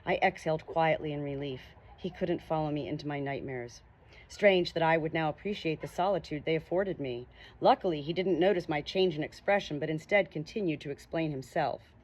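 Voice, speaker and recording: A woman's voice: sits low, a little fast, low-quality recording. A woman narrating an audiobook novel dramatically in the first person.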